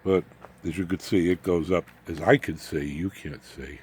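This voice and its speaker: A man with a notably low-pitched voice walks through a homemade electronics project as a hobbyist would. His delivery is unscripted and informal, with folksy phrasing, joking asides and a rambling path through the technical details. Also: fairly even intonation, moderate pace, darkish tone, breathy edge. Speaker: amateur radio hobbyist presenting his home-built project.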